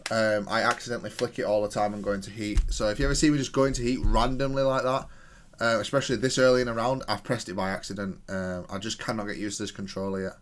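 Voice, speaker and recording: Low-voiced man analysing his gameplay. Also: steady tone, quick pace, a little hiss or hum. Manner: self-correcting, analytical, thinking aloud. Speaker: video game player and content creator. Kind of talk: casual gameplay commentary, analysing own match.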